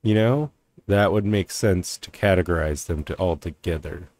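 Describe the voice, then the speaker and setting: A man giving casual, low-key gameplay commentary in an offhand mutter. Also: normal speed, darkish tone, dry recording. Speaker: gaming streamer playing through a game. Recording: game music behind voice.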